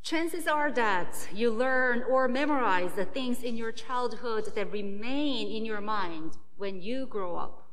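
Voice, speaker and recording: A woman whose first language is Korean, preaching a sermon as a pastor in a slow, measured way. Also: fairly high pitch, a bit bright, in a large hall.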